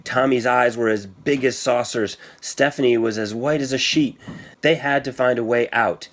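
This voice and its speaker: A man reading a children's story aloud as scripted narration, with a theatrical, suspenseful delivery. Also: medium pitch. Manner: theatrical, suspenseful delivery with emphatic sound words. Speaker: storybook reader.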